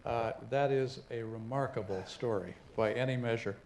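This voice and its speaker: A man's voice: mid-range voice, normal speed, over a noisy crowd, heavy background noise. A man hosting an onstage conversation, asking affable, probing questions. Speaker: moderator host. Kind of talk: interviewing onstage. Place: stage before a live audience.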